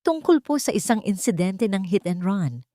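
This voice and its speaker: A woman's voice: medium pitch, big pitch swings, moderate pace, mellow, no echo, clean studio sound, close to an everyday voice. A woman with a Filipino accent voicing a cold, formal male police officer in a narrated story. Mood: stern. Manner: formal, final.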